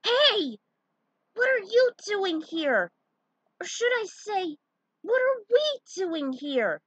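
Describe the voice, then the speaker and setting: Excitable boy hero for a storybook adventure, played by a woman: very high, loud, amazed. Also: slow, no room sound, lo-fi sound, exaggerated voice. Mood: astonished. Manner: loud, exclaiming.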